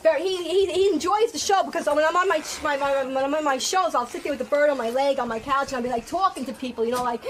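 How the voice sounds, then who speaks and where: Brassy, blunt middle-aged New woman guest with a loud, high voice, talking fast over faint noise. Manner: brassy, blunt, swears freely. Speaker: guest, internet pet show host. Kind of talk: casual radio chat.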